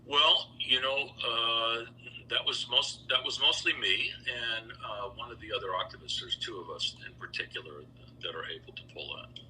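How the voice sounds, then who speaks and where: A man's voice: medium pitch, normal speed, bright, forceful tone, slight background noise, rough recording. A man answering interview questions conversationally as a singer guest, emphatic and repeating himself for stress.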